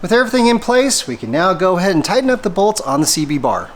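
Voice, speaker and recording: A man's voice: high-pitched, big pitch swings, fast, over loud music. A man presenting a methodical, step-by-step hands-on installation tutorial for a product he made. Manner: methodical, explanatory. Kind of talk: step-by-step hands-on how-to demonstration.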